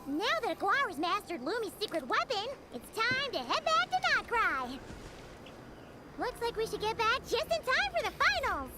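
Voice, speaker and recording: A woman voicing a tiny, cheerful, childlike fairy companion with a squeaky voice in a video game. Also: sing-song, normal speed, very noisy. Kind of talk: video game cartoon voice acting.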